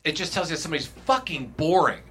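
A man who works as a dating coach, talking bluntly and profanely in a casual podcast chat, telling animated stories. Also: a little high, very fast, slightly rough.

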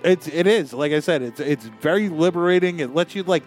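A man's voice: a little high, a little fast, soft background music. A man chatting casually on a comedy podcast, mixing mock-earnest musing with crude swearing. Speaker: podcast co-host.

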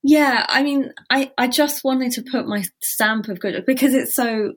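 A woman's voice: high voice, unhurried. A woman novelist, born in Britain with Gujarati as her first language, talking thoughtfully in an interview about her book, often restarting her sentences. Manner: thoughtful, with frequent restarts and "you know". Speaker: novelist guest. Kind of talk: conversational interview.

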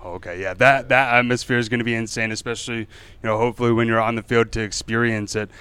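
Man hosting with clear voice. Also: mid-range voice, fairly even intonation, fast talker, pushed and bright, no echo. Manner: rambling questions with many fillers and restarts. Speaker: podcast host and interviewer. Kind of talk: casual interview chat.

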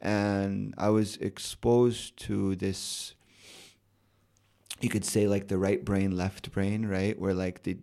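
Young guy, kinda deep and gravelly, chill interview chat, says yeah yeah a lot. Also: slow. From United States. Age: 20s. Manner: relaxed, repeats words and fillers, many "like" and "yeah, yeah". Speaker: guest, rapper and poet.

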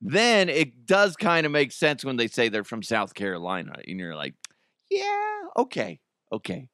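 A man with a hoarse, raspy voice hosts a comedy podcast, rambling and chuckling at his own jokes. His pitch is ordinary for a man. The room sounds dead and dry. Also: normal speed, sharp tone, dry recording. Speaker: podcast host. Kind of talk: casual comedic commentary.

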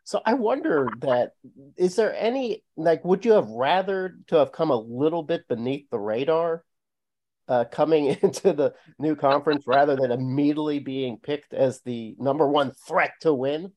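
A man hosting a podcast in a casual, relaxed way, laughing along and swearing lightly. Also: on the high side, normal speed. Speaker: podcast host.

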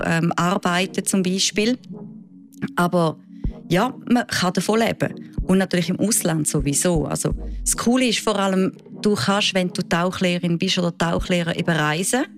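A woman's voice: fairly low pitch, moderate pace. Chatty Swiss German woman. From Switzerland. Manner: lively, chatty storytelling with frequent fillers. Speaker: diving instructor. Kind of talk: interview answers.

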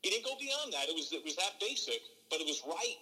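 Bright-voiced, earnest male broadcaster. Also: sits high, fast, long reverb tail. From from New Jersey. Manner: earnest, animated, frequent restarts. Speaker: baseball play-by-play broadcaster, podcast guest. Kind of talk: relaxed interview storytelling.

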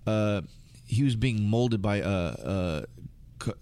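Casual male guest, American, slightly low voice, loose and slangy, talks in meandering runs. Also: lively intonation, slow pace, dark tone, smooth. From United States. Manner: rambling, slangy. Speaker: interview guest. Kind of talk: casual conversation.

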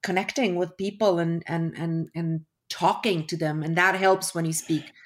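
A woman's voice: fairly low pitch, steady tone, moderate pace. A middle-aged woman from Switzerland, a guest in a casual interview over a video call, reflective and full of restarts. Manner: reflective, with frequent restarts and fillers.

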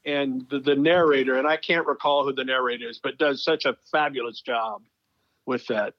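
A man's voice: mid-range voice, fairly even intonation, moderate pace, dry recording, muffled recording. A middle-aged male sports broadcaster telling stories conversationally as an interview guest, leaning on phrases for emphasis. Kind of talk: conversational storytelling.

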